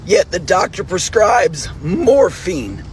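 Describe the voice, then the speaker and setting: A hoarse male nurse, losing his voice, giving an angry, profane video appeal and clearing his throat often. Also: high-pitched, big pitch swings, slow, crowd noise. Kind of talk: direct-to-camera video appeal.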